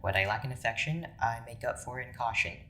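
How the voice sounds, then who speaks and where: An actor playing a stern, cautious doctor in an audio drama, speaking formally with dry, measured authority. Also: mid-range voice, pushed and bright. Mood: firm, cautious. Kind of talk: scripted audio drama acting.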